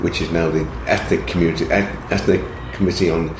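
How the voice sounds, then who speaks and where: A man's voice: low voice, fairly even intonation, a little fast. A middle-aged man, an actor, talking in a hesitant way with many restarts during an interview. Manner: hesitant, full of restarts and repetitions. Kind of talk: interview conversation. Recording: echoey room with faint background noise.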